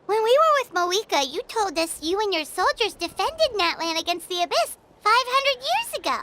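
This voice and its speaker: A woman voicing a small, curious, earnest fairy companion in a video game, with a squeaky, childlike voice. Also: very animated, normal speed, very noisy. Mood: bright, eager. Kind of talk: scripted video game voice acting.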